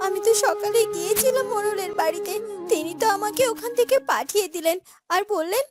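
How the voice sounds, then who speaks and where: A woman voicing a poor young farmer's daughter in a melodramatic cartoon, pleading and hurt in an emphatic way. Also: extremely high pitch. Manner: plaintive, emphatic. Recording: background music under the voice.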